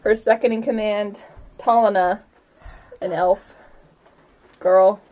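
A woman narrating a casual tabletop game as game master, halting and informal as she goes. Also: medium pitch, steady tone, mellow, low-quality recording.

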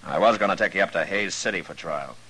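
Deep, gruff western marshal. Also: male voice, fast talker, sharp tone, exaggerated voice. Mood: firm, terse. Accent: American. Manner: gruff, terse, firm. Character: stern, steady frontier US marshal, male. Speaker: radio drama actor. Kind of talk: 1950s radio western acting. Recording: hissy vintage radio recording.

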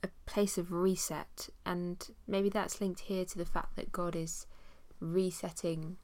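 A woman's voice: lowish voice, level tone, measured pace, a little mellow, dry recording. A woman teaching an informal Bible study. She has a cold and sounds nasal, with many fillers as she reads passages aloud.

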